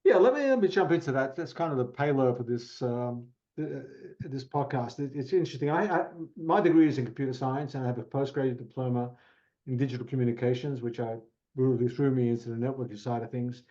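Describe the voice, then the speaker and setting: A man's voice: medium pitch, a little fast, dark tone, lo-fi sound. A man with an Australian accent whose first language is Italian, chatting as a guest on a podcast and telling his story in a casual, self-deprecating way.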